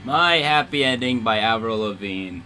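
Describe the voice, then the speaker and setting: A man's voice: fairly low pitch, expressive, normal speed, over music. A man hosting a casual livestream radio show as DJ, playful and rambling, with emphatic announcing.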